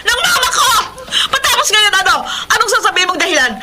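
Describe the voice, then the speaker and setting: A woman's voice: extremely high pitch, sing-song, fast talker, very noisy. An actress playing a suspicious, hurt wife in a melodramatic Tagalog radio drama, speaking her thoughts aloud. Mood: furious, hurt. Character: distraught betrayed wife, suspicious then furious.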